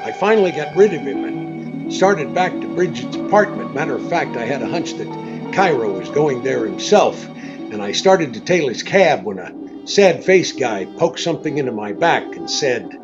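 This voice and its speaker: An actor playing a tough, wisecracking, impatient private detective in a radio drama, brusque and sardonic. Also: male voice, medium pitch, big pitch swings, normal speed, muffled recording, over music. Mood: narrating. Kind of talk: hardboiled radio drama acting with narration.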